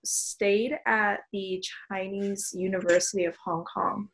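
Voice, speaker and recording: A woman's voice: mid-range voice, fairly even intonation, slow pace, low-quality recording. A woman from Miami, a guest on a casual podcast, speaking in an easygoing way with hesitant fillers. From Miami, United States.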